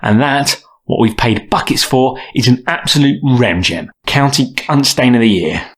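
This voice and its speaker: A man's voice: mid-range voice, a little slow, husky. A man playing a cocky, foul-mouthed young stoner student in an audio drama, mocking and belligerent in slangy speech. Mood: scornful, boastful.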